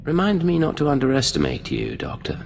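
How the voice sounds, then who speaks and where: An actor playing a cool, guarded imperial intelligence agent in a video game, speaking in a terse, composed way. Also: male voice, medium pitch, huge pitch swings, brisk, creaky, in a large hall, faint music behind. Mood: watchful. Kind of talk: video game dialogue acting.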